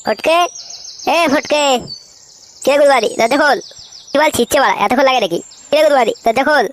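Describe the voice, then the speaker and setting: A man voicing a brash, foul-mouthed young cartoon layabout, swaggering and slangy, with a pitch-altered voice. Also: brisk, fairly bright tone. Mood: impatient, bickering. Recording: faintly noisy cartoon dub, birdsong behind.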